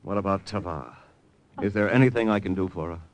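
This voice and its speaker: An actor playing a brash, tough American lieutenant who narrates an old-time radio adventure with slangy, blunt delivery and an American accent. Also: male voice, low-pitched, fairly quick, close to an everyday voice. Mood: puzzled, sombre. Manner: slangy, blunt, hard-boiled.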